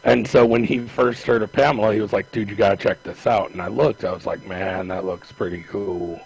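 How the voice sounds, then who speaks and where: A man's voice: fairly low pitch, fairly even intonation, a little fast, creaky, slightly rough, poor audio. A man co-hosting a casual podcast, talking in a rambling, hesitant way with many restarts. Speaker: podcast co-host. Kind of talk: casual chat.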